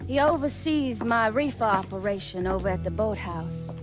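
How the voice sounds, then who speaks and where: An actress playing a proud, calculating gang leader in a video game, speaking formally and pointedly. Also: female voice, mid-range voice, expressive, moderate pace, close to an everyday voice. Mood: guarded, bargaining. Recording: music behind the voice.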